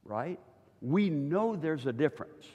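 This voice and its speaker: A man's voice: on the high side, animated, a little slow, darkish tone, slightly rough, lo-fi sound. A man in his sixties teaching at church in a conversational way, often checking in with his listeners. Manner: chatty, keeps checking in with listeners. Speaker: preacher. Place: church hall. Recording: long reverb of a church hall.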